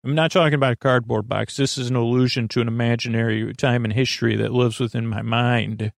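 A man with a gravelly, creaky voice talks as a podcast host in a loose bedtime monologue. His pitch sits in the middle of the male range, and the sound is close and dry. He meanders, stops short and restarts as he wanders into side thoughts. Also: steady tone, dry recording. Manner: meandering, halting, self-interrupting with trailing tangents. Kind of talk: rambling, informal bedtime monologue.

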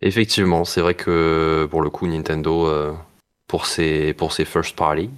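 A man speaking casually as a podcast panelist, with hesitant, halting phrasing. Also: rumbling low, level tone, clean tone. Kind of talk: casual news discussion.

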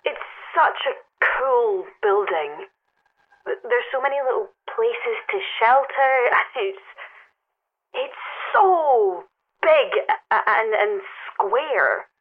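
A woman's voice: fairly high pitch, expressive, takes it slowly. Adventurous young woman, tinny phone line. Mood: excited, playful. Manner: casual, hesitant, playful. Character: adventurous young woman climbing a mysterious tower, wistful. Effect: telephone filter. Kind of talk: naturalistic audio drama acting. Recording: tinny payphone line, music behind.

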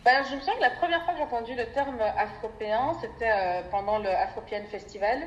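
A woman's voice: on the high side, fast talker, highs cut off. Articulate woman in an echoey, noisy room. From Belgium. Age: 30s. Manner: articulate, thoughtful. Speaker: interview guest, development NGO worker. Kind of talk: reflective personal interview answers. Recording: echoey room with clear background noise.

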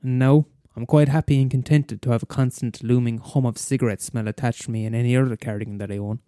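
A man's voice: mid-range voice, steady tone, fast talker, dark tone, clean tone, no echo, studio quality, close to an everyday voice. A man playing a bitter, weary middle-aged schoolteacher in a wry, wordy confessional story monologue. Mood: weary, sardonic. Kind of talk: first-person dramatic monologue.

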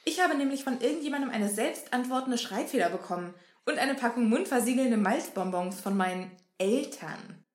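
A woman voicing an earnest, clever schoolgirl in a fan audio story, talking quickly and earnestly. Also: fairly high pitch, expressive, echoey room, natural voice. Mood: earnest, worried.

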